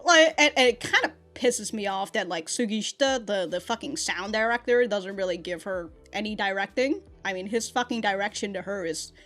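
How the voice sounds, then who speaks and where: A woman's voice: mid-range voice, normal speed, hardly any pauses, a bit bright, soft background music. A woman giving a chatty, rambling review as a reaction host, with casual slang and swearing. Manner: profane, slangy, laughs often while talking.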